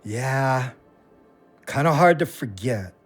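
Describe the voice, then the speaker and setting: An actor playing a guarded, weary friend in an audio drama, consoling yet short-tempered and terse. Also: male voice, mid-range voice, lively intonation, slow pace, faint music behind.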